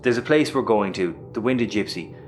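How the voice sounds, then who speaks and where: A man's voice: lowish voice, moderate pace, slight background noise, lightly acted. A man with an Irish accent playing a roguish, sarcastic space captain in a sci-fi audio comedy, wry and sweary. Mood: gruffly generous.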